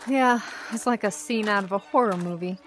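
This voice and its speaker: A woman's voice: mid-range voice, a little fast, faint music behind, natural voice. A woman playing a candid, chatty young graduate student in an audio drama. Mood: wry. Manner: conversational, candid.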